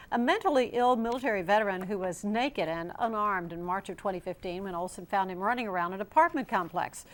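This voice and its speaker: A woman anchoring a television newscast, reading scripted stories in a formal news delivery. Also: medium pitch.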